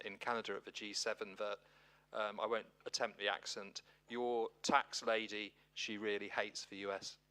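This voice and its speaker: A male reporter puts a question at a press conference. His voice is fairly low with a sharp, bright edge, and he moves haltingly through his phrases. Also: smooth. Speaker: newspaper reporter.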